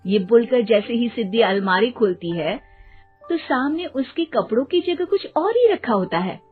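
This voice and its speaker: A woman's voice: fairly high pitch, animated, normal speed, faint music behind. A woman narrating a scripted family story for a cartoon, in lo-fi audio.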